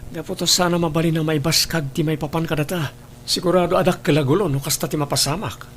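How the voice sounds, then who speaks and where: A man's voice: on the high side, steady tone, quick pace, slight background noise, lightly acted. A man with an Ilocano Filipino accent playing an evasive, defensive husband and father in an audio drama. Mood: tender, apologetic. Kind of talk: Ilocano radio-style drama acting.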